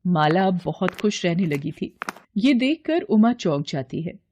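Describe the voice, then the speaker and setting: A woman's voice: fairly low pitch, big pitch swings, a little slow, darkish tone, soft background music. A woman reading scripted fairy-tale narration as the storyteller. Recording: clear background noise.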